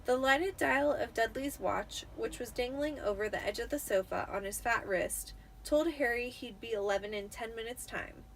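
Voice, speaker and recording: Tipsy, high-voiced woman narrating a story. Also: normal speed, creaky, few pauses. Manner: expressive storytelling with occasional stumbles. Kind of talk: dramatic audiobook-style narration. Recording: noticeable background noise.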